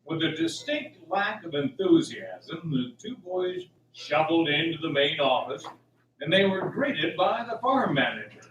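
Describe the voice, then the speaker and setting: Male radio play narrator, animated delivery, recorded off-mic in an echoey room. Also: fairly high pitch, a little slow, lo-fi sound. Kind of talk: scripted radio drama narration. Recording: echoey room, distant mic, faint hiss.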